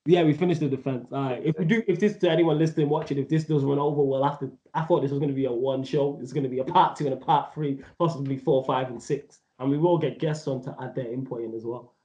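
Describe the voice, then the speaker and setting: A man's voice: mid-range voice, fairly even intonation, quick pace, few pauses, mellow. Emphatic man with a dull, echoey sound. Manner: emphatic, rambling, slang-heavy. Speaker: podcast co-host. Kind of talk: casual football debate.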